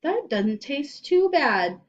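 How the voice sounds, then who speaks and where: A woman voicing an excitable young boy, eager and exclaiming, in a children's story. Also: sits high, takes it slowly, recorded from a distance, highs cut off, slight background noise, pitched above the performer's own voice, full character voice. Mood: relieved. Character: excitable young boy, urgent then relieved. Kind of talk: children's audiobook character voice.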